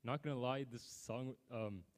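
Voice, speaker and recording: A young man from the United States chatting casually on stage at an open mic, relaxed and rambling with lots of fillers, in an outdoor amphitheater. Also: mid-range voice, normal speed.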